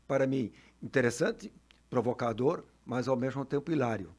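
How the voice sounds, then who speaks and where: An older man, a veteran teacher telling stories in a radio studio with frequent tag questions and chuckles. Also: medium pitch. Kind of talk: relaxed storytelling in a radio interview.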